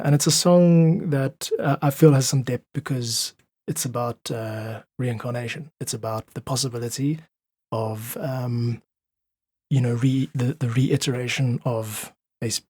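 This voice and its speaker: Husky, earnest man. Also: medium pitch, steady tone, moderate pace, a little mellow, no echo. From South Africa. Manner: earnest, philosophical, emphatic repetition. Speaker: podcast guest, independent musician. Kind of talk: reflective casual conversation.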